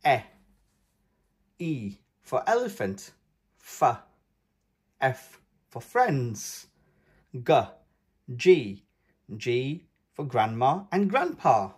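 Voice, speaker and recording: Male children's read-aloud host: animated, husky, emphatic and sing-song for a toddler audience. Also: medium pitch, drawn-out words, pauses often.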